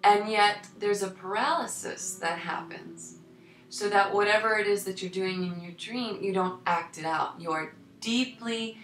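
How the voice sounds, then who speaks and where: A woman hypnotherapist giving a calm coaching talk about sleep, soothing and unhurried, her voice a little tired and deeper than usual. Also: roomy sound, a little hiss or hum, low-quality recording. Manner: soothing, unhurried, repeats words for emphasis.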